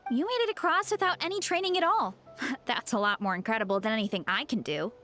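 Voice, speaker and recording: A young, athletic warrior girl from a video game, humble and cheerful. Her voice is high and bright with lively swings in pitch, and she talks in a friendly, eager, casual way. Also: fast, faint music behind. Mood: modest. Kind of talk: video game character acting.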